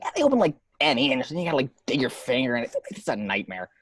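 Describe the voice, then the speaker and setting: Woman as a wry office guy, lively pitch. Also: quick pace, slightly rough, no room sound, clean studio sound, full character voice. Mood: exasperated griping. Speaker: table read host and actor. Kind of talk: amateur screenplay table read. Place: at home, remote table read.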